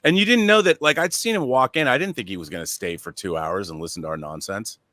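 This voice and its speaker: A man's voice: fairly low pitch, fast, a bit bright, no room sound. A man chatting casually as a panel show guest, emphatic and effusive. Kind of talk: casual animated chat.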